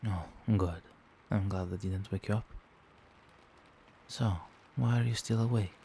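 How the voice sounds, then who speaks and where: A man playing a doting, protective vampire boyfriend in a soft-spoken, soothing and attentive ASMR roleplay. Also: fairly low pitch, fairly even intonation, measured pace, vocal fry, a little hiss or hum. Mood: tender, concerned. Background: rain.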